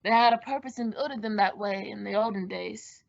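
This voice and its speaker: A woman's voice: medium pitch, fairly quick, creaky, lightly acted. A woman acting a sly villainous man who poses as a polite helper in a radio thriller, with theatrical delivery. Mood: gloating menace. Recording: dull lo-fi audio.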